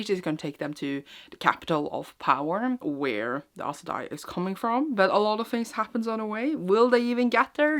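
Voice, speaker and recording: A woman's voice: lowish voice, fairly bright tone. A young woman talking casually as a book vlogger, informal and gushing, rambling and correcting herself as she goes. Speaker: book vlogger and readalong host. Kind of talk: casual, chatty vlog talk.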